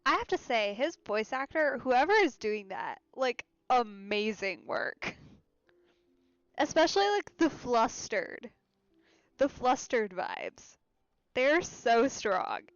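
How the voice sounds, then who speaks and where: A woman's voice: fairly high pitch, expressive, slow, no room sound, lo-fi sound. A woman giving casual let's play commentary on a video game, with playful, dry asides. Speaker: game streamer. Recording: game music behind the voice.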